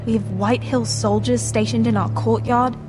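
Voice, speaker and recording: Actress doing high voiced teen noble girl, grieving and devoted, fantasy game drama, music behind her. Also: little pitch movement, moderate pace, lightly acted. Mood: urgent, hesitant. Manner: earnest, emotional. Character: grieving teenage noble sister, devoted and anxious. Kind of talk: dramatic video game acting.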